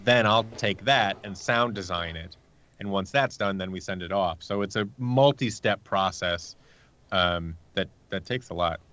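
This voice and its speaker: A man speaking as a sound designer guest in a casual interview, explaining his work haltingly. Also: low voice, a bit bright, highs cut off.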